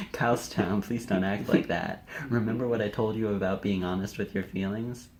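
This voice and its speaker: A man with a lowish voice reads and comments on amateur stories in a casual style. He drops in playful, chatty asides as he goes. Faint room noise sits behind him. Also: expressive, a little slow. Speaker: co-host and amateur fiction writer. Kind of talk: casual commentary and informal story reading.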